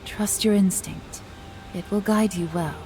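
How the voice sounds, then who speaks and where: A woman voicing a gentle, empathetic enchantress haunted by regret in a video game, speaking with soft, earnest care. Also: mid-range voice, fairly even intonation, a little slow, natural voice. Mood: concerned. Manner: soft, earnest, soothing counsel. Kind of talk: dramatic video game acting. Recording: game music behind the voice. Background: game music.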